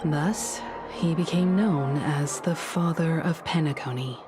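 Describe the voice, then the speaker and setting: Composed, analytical young woman, low-pitched. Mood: thoughtful, probing. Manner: measured, reasoning aloud. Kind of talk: English video game voice acting. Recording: music behind the voice. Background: background music.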